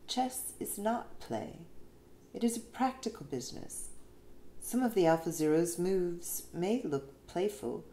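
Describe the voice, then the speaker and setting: A woman reads a written essay aloud in an expressive, emphatic way with deliberate phrasing. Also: fairly low pitch. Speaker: reader of an essay. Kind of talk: scripted narration, essay read aloud. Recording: roomy echo, faint background noise.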